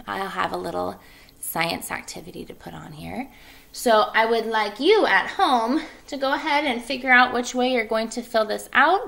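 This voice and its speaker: A woman's voice: mid-range voice, creaky, pushed and bright. A woman, an elementary school teacher, reading a children's story aloud and giving instructions to her class.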